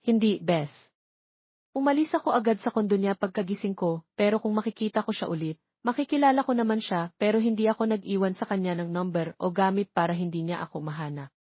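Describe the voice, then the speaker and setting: Female narrator as nervous expectant young woman, hesitant, pleading, husky tone, roomy sound. Audiobook romance. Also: medium pitch, quick pace, lo-fi sound, natural voice. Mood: worried, confessing. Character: anxious young pregnant woman confiding in her friend. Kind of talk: audiobook character dialogue.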